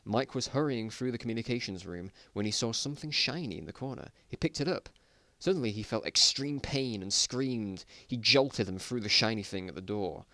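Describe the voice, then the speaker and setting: A man reads a story aloud in a dramatic but self-mocking way, often stepping out to comment in exasperation on the writing. The recording is dry and close, and his pitch is middling. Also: animated, moderate pace, hardly any pauses, bright, forceful tone, no echo. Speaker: reader of own story. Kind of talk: dramatic reading with joking asides.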